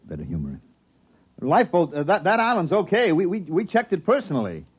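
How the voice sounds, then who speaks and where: Male explorer, mid-pitched, a little rough-edged, expressive and sensible, muffled vintage radio drama recording. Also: a little fast, heavily acted. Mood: exasperated. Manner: conversational, expressive, skeptical. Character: sensible, increasingly exasperated male space explorer narrating his adventure. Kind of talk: old-time radio drama acting.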